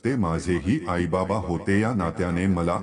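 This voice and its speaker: A man's voice: low-pitched, normal speed, crowd noise, close to an everyday voice. A man voicing a principled, reproachful husband in a moral story, reasoning and admonishing. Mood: firm, admonishing. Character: reproachful, principled husband, eldest son of the family.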